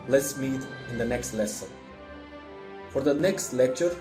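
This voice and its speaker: A man narrating a scripted lesson as a music instructor, explaining step by step in simple phrases. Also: medium pitch.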